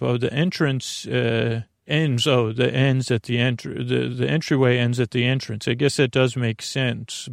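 A man with a gravelly, creaky voice hosting a bedtime podcast, rambling haltingly and often trailing off mid-thought. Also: mid-range voice, no room sound, clean studio sound. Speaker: podcast host.

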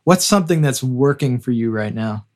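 Guy interviewer, normal mid pitch, casual and encouraging with his questions. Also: expressive, normal speed, a little mellow. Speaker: interviewer, songwriter.

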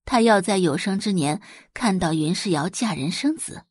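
A woman's voice: medium pitch, lively intonation, moderate pace, husky, no echo, studio quality. A woman narrating a scripted audio drama as a storyteller, in a dramatic reading style.

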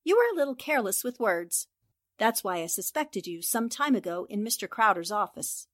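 A woman acting a sharp, confident young newspaperwoman in a 1940s-style radio drama, crisp and assured as she reasons things out. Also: mid-range voice, big pitch swings, moderate pace. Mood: coolly accusing. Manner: crisp, assured, explaining deductions.

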